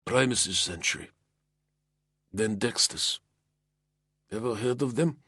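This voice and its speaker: A man's voice: lowish voice, animated, slow, bright, forceful tone, husky, no echo, clean studio sound, full character voice. A man voicing a weary male veteran soldier who speaks in a subdued tone, his voice catching.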